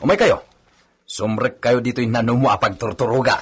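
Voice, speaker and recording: A man's voice: medium pitch, moderate pace, a bit bright, slightly rough. A man acting a servile, eager henchman to a rich master in a radio drama. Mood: deferential. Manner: obedient, eager, deferential.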